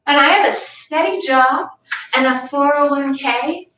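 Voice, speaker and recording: A woman's voice: sits high, unhurried, a bit bright, a little hiss or hum. A woman playing a bitter, privileged suburban wife and mother in a staged play reading, emphatic and exasperated. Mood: tense, brittle. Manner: profane ranting, emphatic. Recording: echoey room, far from mic.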